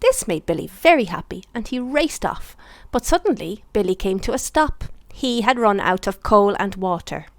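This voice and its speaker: Animated woman reading a children's story. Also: medium pitch, normal speed, dry recording. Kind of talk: expressive read-aloud bedtime story narration.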